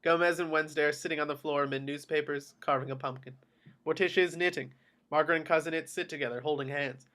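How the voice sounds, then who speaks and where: Bright-voiced man narrating. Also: fairly high pitch, fairly even intonation, quick pace. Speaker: narrator reading stage directions. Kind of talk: scripted narration for a table read.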